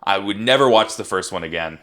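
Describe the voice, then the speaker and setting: A young man co-hosting a movie review chat, rambling with restarts and fillers, with a tired, gravelly voice. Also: on the low side, lively intonation, a little fast, bright, forceful tone. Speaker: podcast co-host.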